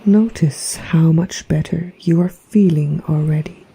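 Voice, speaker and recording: A woman narrating a guided meditation in a soft, breathy, half-whispered voice with a gentle, soothing, hypnotic manner. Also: low voice, unhurried, low-quality recording. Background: relaxation music.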